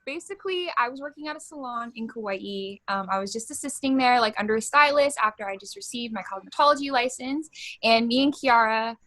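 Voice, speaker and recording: A woman's voice: a little high, a little fast, fairly bright tone. Blunt, cheerful young woman co-host. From Hawaii. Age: 20s. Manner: blunt, cheerful, swears freely. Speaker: podcast co-host. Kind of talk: casual chat.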